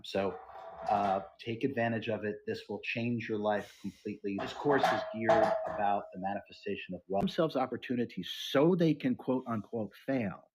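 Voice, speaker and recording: A man's voice: lowish voice, a little mellow, lo-fi sound, slight background noise. A man hosting a podcast, giving an earnest and emphatic spiritual teaching talk. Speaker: podcast host.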